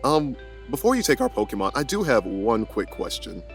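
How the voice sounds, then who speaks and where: A man chats and roleplays as a player in a tabletop podcast. He is medium-pitched and hesitant, circling back to correct himself, with music in the background. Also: huge pitch swings, normal speed. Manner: hesitant, repeats words, self-correcting. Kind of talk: casual roleplay podcast chat. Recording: music under the voice.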